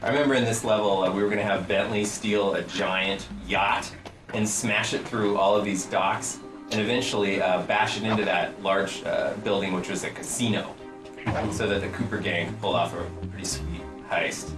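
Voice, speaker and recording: A man's voice: low-pitched, fairly even intonation, moderate pace. A man who works as a game designer, giving casual commentary over game footage with a joking, playful, dry manner. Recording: roomy, distant mic with game music. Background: game music.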